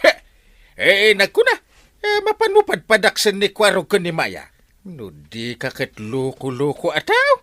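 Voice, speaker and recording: An actor with an Ilocano accent playing an excitable, exclamatory village captain in a comic radio drama. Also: male voice, sits high, measured pace, no echo, studio quality, full character voice. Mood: startled, blustering. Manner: exclamatory, blustering, sputtering.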